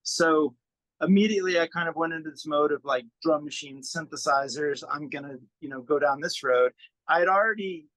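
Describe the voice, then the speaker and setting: A man being interviewed as a musician, chatting casually in a halting way as he thinks aloud. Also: a little high, fairly bright tone, dry recording, low-quality recording. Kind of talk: casual interview chat about own work.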